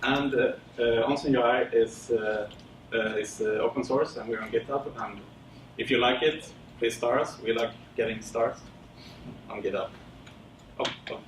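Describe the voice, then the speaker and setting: A man from Sweden presenting casually at a tech meetup, with many fillers and a relaxed, rambling delivery. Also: medium pitch, normal speed. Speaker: conference speaker. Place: lecture room. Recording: roomy, distant with audible background noise.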